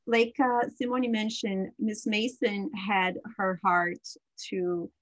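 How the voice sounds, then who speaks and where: A woman whose first language is Portuguese, co-hosting a podcast in casual chat, talking freely with many fillers and quick laughs. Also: medium pitch, dry recording, low-quality recording. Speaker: podcast co-host.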